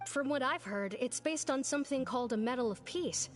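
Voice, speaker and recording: A woman's voice: sits high, fairly quick, lightly acted. A woman voicing a friendly young member of a small aid network in a video game, chatty and open. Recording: game audio with faint music.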